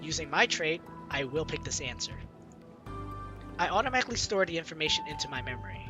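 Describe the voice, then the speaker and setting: Man, slightly high voice, gaming host, reading story narration, informal asides, music behind. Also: big pitch swings, quick pace. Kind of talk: reading visual novel narration with casual asides. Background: game music.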